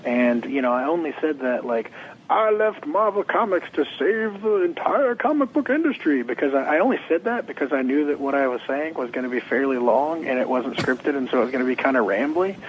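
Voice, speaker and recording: A young adult man, a comics writer being interviewed, slightly hoarse, talking in a rambling, animated way and acting out quotes. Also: mid-range voice, brisk, slight background noise, rough recording. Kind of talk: casual interview.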